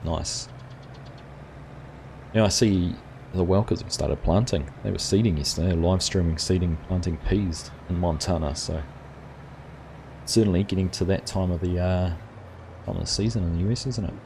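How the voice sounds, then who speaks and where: Kiwi guy with a really low voice, laid back stream chat, little bit of hiss behind. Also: normal speed, vocal fry, long pauses. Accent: New Zealand. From New Zealand. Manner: relaxed and chatty, many fillers, answers chat by name. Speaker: live-stream gaming host. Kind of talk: casual chat reading viewer comments.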